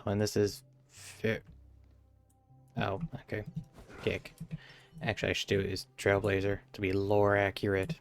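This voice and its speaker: Male game streamer, low voice, casual commentary, offhand read-aloud delivery. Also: completely flat delivery, normal speed, gravelly, pauses often, faint music behind.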